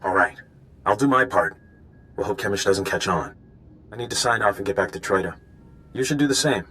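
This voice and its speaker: A man's voice: on the low side, expressive, fairly quick, pushed and bright. An actor playing an earnest, scheming male undercover informant in a video game, speaking in an urgent, conspiratorial way. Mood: worried. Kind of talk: video game dialogue acting.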